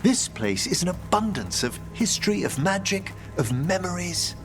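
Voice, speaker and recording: A learned gentleman necromancer for a fantasy game. His voice sits a little high for a man and swoops expressively through formal, ceremonious phrases, with music playing behind him. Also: big pitch swings, moderate pace. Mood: reverent. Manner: formal, eloquent, musing. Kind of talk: video game voice acting.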